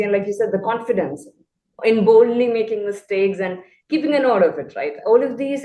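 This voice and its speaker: A woman's voice: medium pitch, mellow. Articulate woman in an echoey room. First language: Malayalam. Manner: thoughtful, articulate, laughs easily. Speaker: English tutor, guest. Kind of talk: casual conversation.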